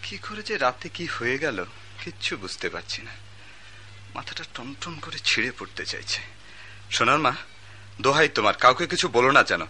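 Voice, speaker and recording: An actor playing a spoiled rich young son in a radio drama, flustered and defensive. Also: male voice, medium pitch, big pitch swings, moderate pace, long pauses, pushed and bright, slight background noise. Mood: anxious.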